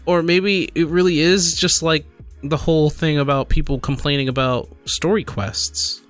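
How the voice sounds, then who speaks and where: A man giving casual reaction commentary as a gaming video creator, halting and conversational as he thinks aloud and reads out comments. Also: fairly high pitch, steady tone, fairly bright tone, soft background music.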